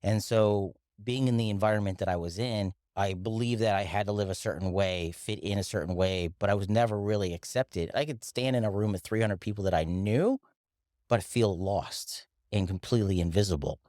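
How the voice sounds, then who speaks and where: A middle-aged man from the Philippines talks as a guest about mindset and wellness. His voice sits low, and he speaks earnestly, slowing down to stress points. The recording is dry and close. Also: darkish tone, dry recording. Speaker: interview guest, wellness coach. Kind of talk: reflective interview talk.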